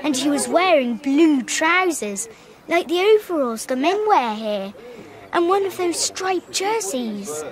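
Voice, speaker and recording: A woman's voice: high voice, sing-song, slow pace, crowd noise, exaggerated voice. A woman playing a stubborn, observant little girl, insistent and earnest, in a radio drama.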